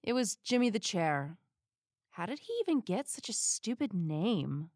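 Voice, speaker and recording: A woman's voice: mid-range voice, very animated, measured pace, darkish tone, no echo, clean studio sound. A woman playing a classy, guarded, sardonic witness in an audio drama. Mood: defensive.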